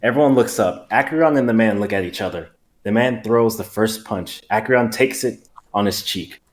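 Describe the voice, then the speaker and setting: A man's voice: lowish voice, moderate pace. A man reading stage directions aloud in a live dramatic reading, plain and matter-of-fact.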